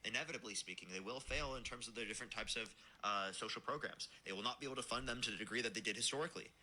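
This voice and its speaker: A man hosting a livestream debate, explaining his points at length in a rapid, lecturing way. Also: mid-range voice, bright, forceful tone, poor audio.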